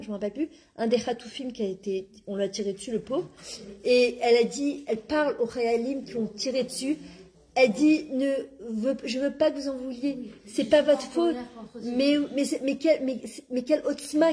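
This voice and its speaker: Woman, religious class, emphatic and exclaiming, higher voice, mellow tone. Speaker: religious teacher.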